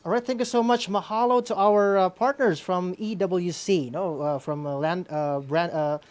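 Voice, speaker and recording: A man hosting a formal event, introducing speakers in a halting way as he searches for words. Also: sits high, steady tone, darkish tone. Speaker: event host.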